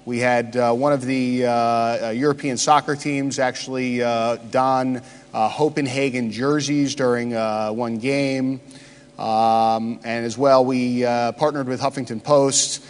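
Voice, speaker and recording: A man speaks at a conference, his mid-range voice trailing into long hall reverb. He pauses often and stumbles through fillers as he walks the audience through slides. The sound carries the wash of a big room. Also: medium pitch, fairly even intonation, clear, resonant tone. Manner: halting, many "uh" fillers. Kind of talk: conference presentation.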